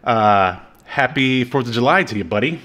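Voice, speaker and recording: A man hosting a podcast in casual, joking banter, with a halting delivery. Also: medium pitch, lively intonation, a bit bright. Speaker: podcast host.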